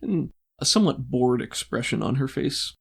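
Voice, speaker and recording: A man's voice: medium pitch, expressive, moderate pace, gravelly, slightly rough. Man narrating a tabletop game. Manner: descriptive, improvised, hesitant searching for words. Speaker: game master.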